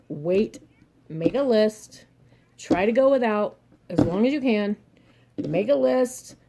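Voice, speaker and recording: Casting: hoarse young woman, chatty and blunt, average pitch, laughs through her advice. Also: dark tone. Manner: chatty, frank, laughs often, mild cursing. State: a little hoarse and throaty. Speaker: vlogger, single mother and reseller. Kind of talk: casual advice monologue to camera.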